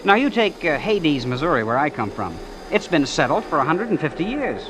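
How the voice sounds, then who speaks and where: Animated, naive small-town schoolboy. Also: male voice, on the high side, fairly quick, noisy background. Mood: eager, chatty. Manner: folksy, boyish, hesitant storytelling. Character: eager, naive, chatty small-town prep-school young man. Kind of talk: 1940s radio acting. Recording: vintage radio recording with music behind.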